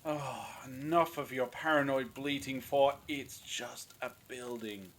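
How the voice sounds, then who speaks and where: Man acting grumpy male captive, sarcastic, cutting, mid-pitched voice, audio drama. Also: steady tone, measured pace, a little hiss or hum, natural voice. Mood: irritable. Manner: scornful, irritable, cutting.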